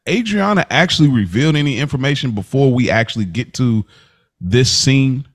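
A man's voice: mid-range voice, animated, brisk. A young man co-hosting a podcast, chatting in an easygoing, thoughtful way and often murmuring agreement. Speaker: podcast co-host. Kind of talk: casual chat.

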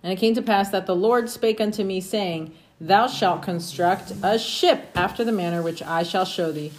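A woman's voice: mid-range voice, moderate pace. A woman reading scripture aloud to her family at home, informally, with casual asides. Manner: informal, breaking off to chat with children. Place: at home among family with children. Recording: noisy home recording with clicks.

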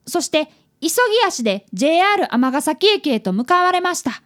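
A woman acts out a fifth-grade boy training as a detective in a radio drama. The voice is very high and swings animatedly in pitch. He speaks politely and earnestly, both in dialogue and narration. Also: very animated, moderate pace, fairly bright tone, full character voice. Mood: earnest narrating.

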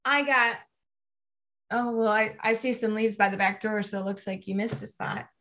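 A woman's voice: mid-range voice, fairly even intonation, normal speed, a bit bright. A woman co-hosting a faith podcast in casual conversation, talking emphatically and repeating key phrases for effect. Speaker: podcast co-host.

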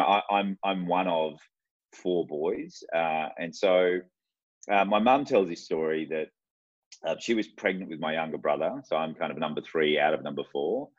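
An Australian man in his forties, a guest telling his life story in an unhurried, reflective way. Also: rumbling low, dry recording. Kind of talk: casual interview talk. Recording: dull lo-fi sound.

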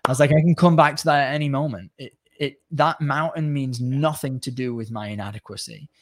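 A man's voice: mid-range voice, brisk. A man with a mid-range voice shares his inner journey sincerely. The recording is dry and close, with no room echo. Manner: sincere, talks about inner healing. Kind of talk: personal sharing on a talk show.

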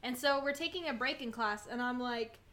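Female podcast guest, young. High, bright tone; informal, animated comic storytelling. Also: a little fast. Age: young adult. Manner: animated, jokey, slangy. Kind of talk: casual chat, comic storytelling.

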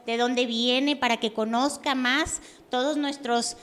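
A woman's voice: high voice, steady tone, slow, a bit bright. A woman with a Mexican accent hosting a podcast, asking questions in a chatty way with lots of fillers. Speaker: podcast host. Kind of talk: casual interview chat.

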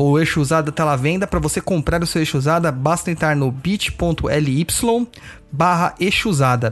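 A man with a Brazilian Portuguese accent hosting a podcast, chatting informally with his nose stuffed up from a cold. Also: a little high. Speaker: podcast host. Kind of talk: casual podcast update.

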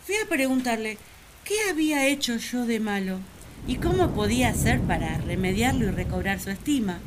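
A woman's voice: fairly high pitch, animated, moderate pace, very noisy, lightly acted. An actress playing an earnest, lovesick first woman who confides her feelings in a sentimental radio drama monologue. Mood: sad, hurt.

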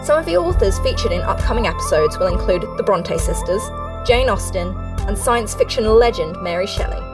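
A woman's voice: medium pitch, expressive, a little fast, recorded from a distance. A woman hosting a podcast, giving a scripted introduction. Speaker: podcast host. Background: music.

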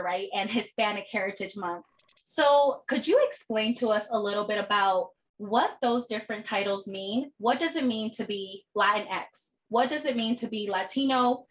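A woman's voice: medium pitch, rough recording. A woman from the United States hosting a podcast, asking questions in a friendly, encouraging way. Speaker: podcast host. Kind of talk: podcast interviewing.

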